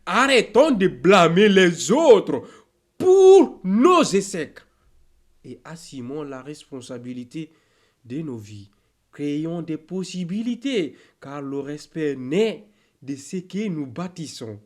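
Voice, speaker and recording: A man reciting a motivational poem in a declamatory, exhortative way, in short measured phrases with deliberate pauses. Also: a little high, very animated.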